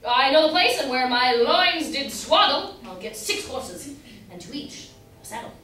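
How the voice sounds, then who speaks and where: Jilted foolish young gallant played by a woman, very high plaintive voice, amateur stage comedy, distant mic. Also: expressive, moderate pace, sharp tone. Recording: roomy, distant mic, faint noise.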